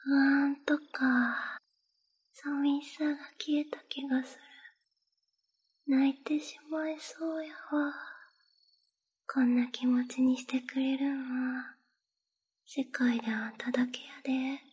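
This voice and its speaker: A young woman with a Kansai accent voicing a clingy, possessive, lovesick young woman in a breathy close whisper for an ASMR roleplay. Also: sits high, little pitch movement, takes it slowly, pauses often, darkish tone. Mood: tender.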